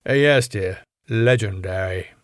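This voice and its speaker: A man's voice: on the low side, a little slow, dry recording, clean studio sound, natural voice. A man with a British accent voicing a fussy, pompous older male club owner prone to dramatic outbursts.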